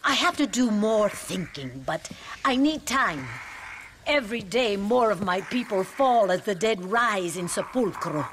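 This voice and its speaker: Solemn nun, noisy backdrop. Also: female voice, mid-range voice, animated, unhurried, fairly bright tone. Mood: solemn, earnest. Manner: solemn, earnest, formal. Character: devout, troubled Mexican nun, gentle and earnest. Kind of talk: video game cutscene acting.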